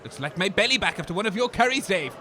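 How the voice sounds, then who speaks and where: A man's voice: sits high, animated, quick pace, bright, forceful tone. A man playing a grumpy, self-important radio co-host in a comedy, scornful and grumbling as he commentates. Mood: sneering. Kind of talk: comic scripted commentary.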